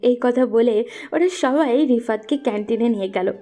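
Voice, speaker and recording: A woman's voice: a little high, moderate pace, warm tone, soft background music. A woman narrating a scripted romantic audio story.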